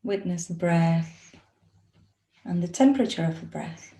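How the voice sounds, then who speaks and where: Woman doing a guided relaxation, slightly low voice, really slow and soothing, lots of pauses, echoey room. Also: lively intonation, mellow. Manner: soothing, instructional, with long pauses. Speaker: yoga nidra teacher. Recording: roomy, echoey sound.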